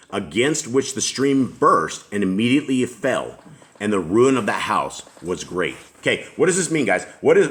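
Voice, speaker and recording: A middle-aged man with a deep, low voice leads a home Bible study. He reads scripture aloud at an unhurried pace and stops to put questions to the others. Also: a bit bright.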